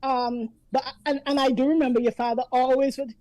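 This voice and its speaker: A woman in her fifties reminisces in a chatty, storytelling way, laughing easily as she talks. Her voice sits a little high yet sounds mellow and dark in tone. The sound is close and dry, with no room around it. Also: level tone, a little fast, no echo, low-quality recording. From Antigua. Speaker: guest. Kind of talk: casual reminiscing conversation.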